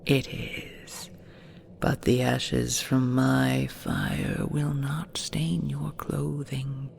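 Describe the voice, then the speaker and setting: A woman's voice: a bass voice, little pitch movement, extremely slow, a little mellow, heavily acted. A woman playing an ancient, possessive and tender she-dragon in an intimate audio roleplay, whispering soothingly with formal, old-fashioned phrasing. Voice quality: breathy, creaky whisper.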